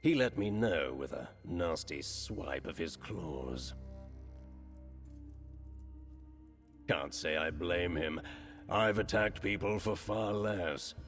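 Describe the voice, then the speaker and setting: Chatty sly crime boss in a fantasy game, deep voice, fake polite w/ a threat under it, bit of music behind. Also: male voice. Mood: wry, veiled menace. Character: smooth-talking, chatty male crime lord, slyly menacing. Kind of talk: fantasy video game dialogue. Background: background music.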